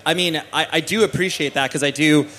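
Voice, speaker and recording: A man's voice: a little high, brisk, sharp tone. A man hosting an interview, asking questions in a meandering way with many "uh"s and restarts. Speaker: podcast host. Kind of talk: casual interview chat.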